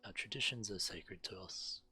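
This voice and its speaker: Male streamer, low bright voice, reading fantasy game quest dialogue aloud, music faintly behind. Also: moderate pace, slightly rough.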